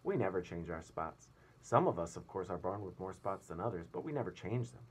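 A man plays a genteel storybook ladybug, proud and self-satisfied. The voice is husky and very lively in pitch, lifted above his usual talk. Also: fairly quick. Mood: prim, proud.

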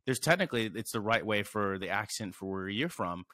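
A man in his thirties hosts a podcast, speaking into a stand mic in a small curtained studio. His voice sits on the low side and sounds dry and close. He rambles casually and reacts with lively surprise. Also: fairly quick, no room sound. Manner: animated, rambling, reacts with wows. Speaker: podcast host. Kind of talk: casual interview chat.

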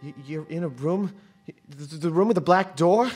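An actor playing a timid, henpecked husband in a radio drama, stammering, flustered and given to muttered grumbling. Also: male voice, on the high side, normal speed, darkish tone, husky, soft background music. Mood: nervous. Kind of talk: live radio drama acting.

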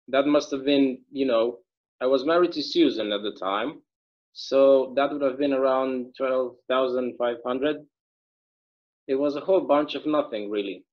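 A folksy, easygoing small-town bartender, read by a man over a video call. He rambles through stories in a middle-pitched voice. The sound is dull and lo-fi. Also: natural voice. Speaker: actor in a table read.

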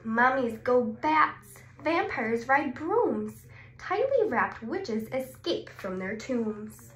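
Expressive young woman reading aloud, roomy sound. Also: on the high side. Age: 20s. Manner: expressive, exclaiming, asks questions and pauses before answering. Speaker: speech therapist. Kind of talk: animated read-aloud of a children's picture book, with questions and answers. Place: small indoor clinic room. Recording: roomy echo, clear background noise.

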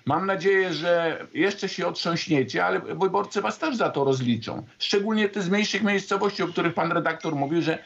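A man with a fairly high voice argues as a guest in a political debate. His manner is accusatory, and the sound is dull, like a muffled video call. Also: moderate pace, vocal fry, hardly any pauses, fairly bright tone. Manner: argumentative, accusatory. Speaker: panel guest.

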